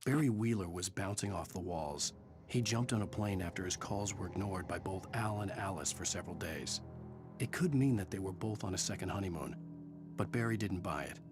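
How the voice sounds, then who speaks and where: An actor narrating as a brooding male novelist in a game, in a grim, measured voice. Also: sits low, lightly acted. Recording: game audio over loud music.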